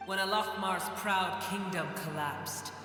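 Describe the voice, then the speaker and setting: Ancient dragon, slow and echoing. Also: male voice, sits high, fairly even intonation, bright, forceful tone. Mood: grim, intense. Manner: slow, grandiose, scornful. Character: ancient proud dragon, bitter and menacing. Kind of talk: video game character acting. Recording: cavernous echo with long reverb. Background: game music.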